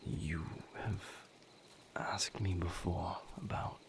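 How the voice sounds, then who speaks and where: A man playing a brooding, tender vampire lover with a painful past, speaking hesitantly and formally in a breathy, intimate audio roleplay monologue. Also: fairly even intonation, heavily acted. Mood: guarded, somber. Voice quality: breathy, whispered, low-pitched. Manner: hesitant, formal wording, measured, pausing.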